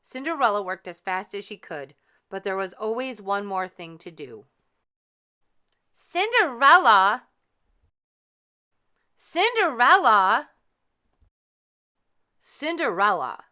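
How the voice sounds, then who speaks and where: A woman reading a children's storybook aloud with careful, expressive narration. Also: medium pitch, normal speed, smooth. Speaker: storybook reader.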